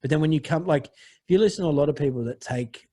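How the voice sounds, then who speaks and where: Husky man telling a rambling story. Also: on the high side, dark tone. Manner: profane, rambling, halting with many restarts. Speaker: podcast guest. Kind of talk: candid personal storytelling.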